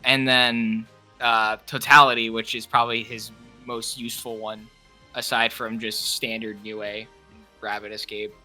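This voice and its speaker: Sharp, bright-voiced young man over music. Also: on the low side, little pitch movement, unhurried. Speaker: co-host of a character ranking discussion. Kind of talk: casual opinionated chat.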